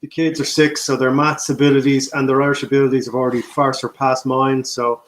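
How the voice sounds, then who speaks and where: A man co-hosting a live stream in a relaxed, casual way. He talks quickly, at a middle pitch. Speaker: co-host. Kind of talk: casual chat.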